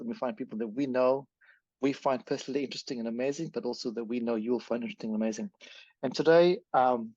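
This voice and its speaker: A man's voice: medium pitch, darkish tone, dry recording. A man hosting a podcast, talking in a rambling, self-correcting way. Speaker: podcast host. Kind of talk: casual podcast chat. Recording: dry, dull lo-fi sound.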